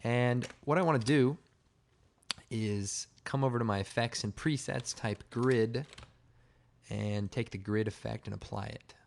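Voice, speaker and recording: A man with a slightly hoarse, raspy voice giving a casual, informal software tutorial, explaining step by step. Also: mid-range voice, unhurried, a little hiss or hum. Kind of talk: casual screen-recorded tutorial narration.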